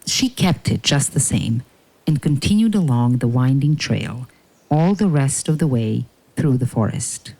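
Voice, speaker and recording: A woman narrating a scripted fairy tale in a measured, deliberate way. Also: rumbling low, lively intonation, warm tone, slightly rough, poor audio.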